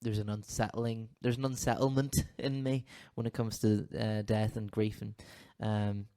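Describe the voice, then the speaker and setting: A man's voice: lowish voice, level tone, normal speed, dark tone, clean tone. Man, Northern Irish accent, slight cough, rambling casual chat, self-interrupting. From Northern Ireland. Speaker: podcast co-host, counsellor.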